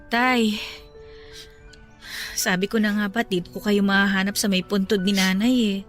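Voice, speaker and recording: An actress playing a caring grown daughter in a Filipino radio-style drama, speaking in a gentle, respectful, earnest and consoling way. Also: female voice, mid-range voice, fairly even intonation, moderate pace, soft background music, natural voice. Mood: gentle reassurance.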